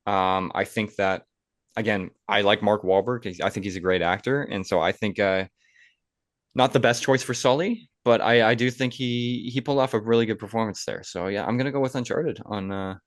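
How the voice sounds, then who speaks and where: Male podcast speaker, lowish voice, conversational and opinionated, close dry sound. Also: moderate pace, no echo. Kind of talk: casual podcast chat, giving film opinions.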